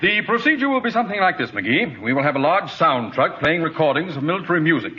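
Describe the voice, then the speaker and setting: Stuffy mayor with swooping delivery. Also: male voice, a little high, very animated, quick pace. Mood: businesslike. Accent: American. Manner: stuffy, formal, speechifying delivery. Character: formal, self-important, courteous town mayor. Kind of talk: 1940s radio comedy acting. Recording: muffled, noisy 1940s radio recording.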